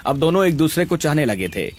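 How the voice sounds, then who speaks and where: Animated, higher-pitched male storyteller. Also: fairly quick. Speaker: story narrator. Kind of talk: scripted dramatic narration.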